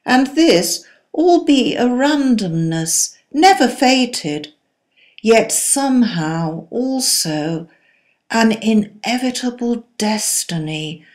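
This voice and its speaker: A woman reciting poetry in a solemn, deliberate, dramatic and declamatory manner. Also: a little high, big pitch swings, a little mellow. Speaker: poetry reader.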